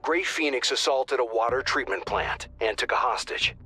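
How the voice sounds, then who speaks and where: An actor playing a wry male operations dispatcher who briefs the squad in a dry, quick manner. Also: medium pitch, pushed and bright, soft background music, natural voice. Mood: briefing. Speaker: mission dispatcher. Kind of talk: video game voice acting.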